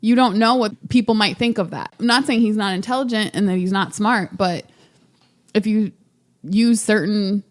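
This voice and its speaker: A woman's voice: medium pitch, normal speed. A woman hosting a podcast, asking probing questions with a teasing edge. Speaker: podcast host. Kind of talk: casual podcast interview.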